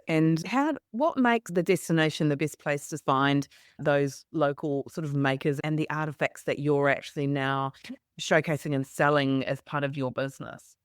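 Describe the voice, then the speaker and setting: Woman hosting, lower voice. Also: clean tone, no echo, clean studio sound. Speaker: podcast host. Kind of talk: travel podcast hosting.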